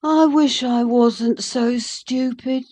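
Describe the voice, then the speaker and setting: Soft shy boy apprentice, hushed and slow, sweet natured, lady doing the voice. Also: a little high, fairly even intonation, no echo, voice pushed up. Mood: gentle. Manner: gentle, hushed. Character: kind, shy young male apprentice.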